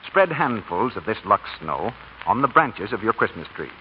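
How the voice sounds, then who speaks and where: A man's voice: lowish voice, very animated, moderate pace. A man reading a scripted 1940s radio commercial as the announcer. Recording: muffled old radio, faint noise.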